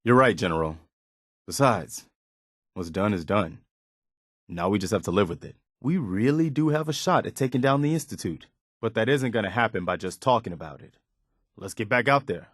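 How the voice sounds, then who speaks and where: A man's voice: fairly low pitch, expressive, moderate pace, no echo, clean studio sound. A man playing an earnest, idealistic and loyal militia soldier in a video game, speaking plainly and conversationally. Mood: rousing, determined. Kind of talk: video game companion dialogue, naturalistic acting.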